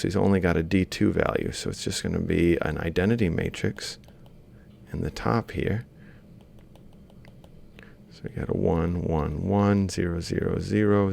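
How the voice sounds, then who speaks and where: A male lecturer speaks in a deep voice thick with creak. He explains a worked example informally, one step at a time, thinking aloud as he goes. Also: darkish tone. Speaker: university lecturer.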